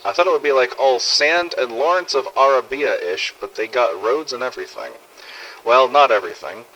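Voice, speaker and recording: A man's voice: mid-range voice, normal speed, pushed and bright, a little hiss or hum, low-quality recording. A man playing a brash, boastful American tourist with slangy, cocky delivery in a game audio log. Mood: cocky, excited. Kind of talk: game audio log, letter read aloud.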